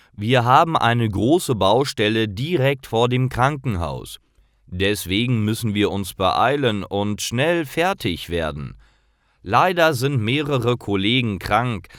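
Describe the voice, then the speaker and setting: A man voicing an earnest, friendly construction worker in clearly enunciated storybook dialogue for learners. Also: medium pitch, a bit bright, no room sound, clean studio sound, voice pushed up. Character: hard-working, appreciative male construction worker, hungry regular customer.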